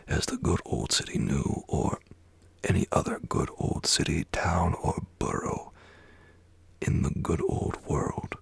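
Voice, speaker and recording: A man narrating an ASMR bedtime story in a hushed, dramatic way, close to the mic. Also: low-pitched, vocal fry, husky, dry recording.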